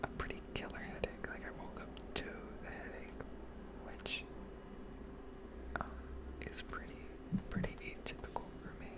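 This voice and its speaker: A woman's voice: measured pace, rough recording. A woman in her twenties whispering a rambling, digressive ASMR chat close to a condenser microphone. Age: 20s. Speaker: gaming ASMR creator. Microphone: close condenser mic.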